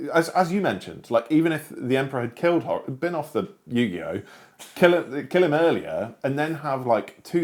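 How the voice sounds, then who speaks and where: A man's voice: medium pitch, darkish tone. A man co-hosting a casual book discussion, talking in emphatic bursts that trail off into fillers. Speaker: co-host. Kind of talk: casual chat.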